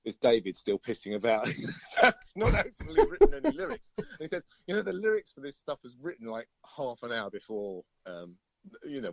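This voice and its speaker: A man's voice: mid-range voice, vocal fry, no room sound, muffled recording. A middle-aged British man, a musician chatting casually in an interview, rambling through stories in a chatty way. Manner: chatty, rambling storyteller, often chuckling.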